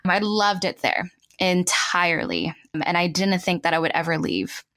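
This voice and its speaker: A woman's voice: lowish voice, sing-song, moderate pace, a bit bright, dry recording, studio quality. A woman from the Philippines speaking reflectively as an interview guest, thoughtful and often rewording as she goes.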